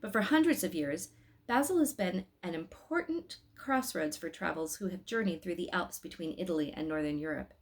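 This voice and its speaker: A woman's voice: fairly low pitch, expressive, a little fast. A woman storyteller reading a storybook aloud expressively, with emphatic phrasing.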